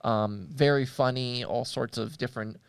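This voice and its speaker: A man's voice: mid-range voice, fairly even intonation, moderate pace, vocal fry, clean tone, no echo. A man co-hosting a show in casual discussion, speaking hesitantly and thoughtfully as he searches for words. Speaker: co-host of a media show.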